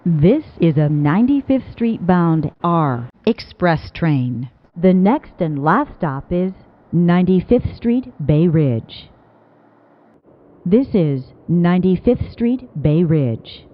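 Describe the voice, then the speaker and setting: Slow, lively-pitched woman announcing trains. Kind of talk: scripted automated transit announcements, spliced phrases. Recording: dull lo-fi announcement clips.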